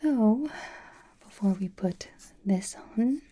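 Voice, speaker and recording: A woman voicing a doting, teasing vampire in a breathy ASMR whisper, coy and theatrical, with lingering phrasing. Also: mid-range voice, lively intonation, slow pace, dark tone. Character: flirtatious, doting, teasing female vampire companion.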